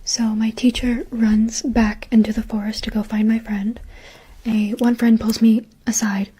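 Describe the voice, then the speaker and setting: A woman whispers her way through a long personal story, her breathy voice sitting a little high. She talks on a narrow, flat line, with a mellow tone. Her delivery stays casual and chatty, full of "like" and "um.". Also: unhurried. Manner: chatty, lots of "like" and "um".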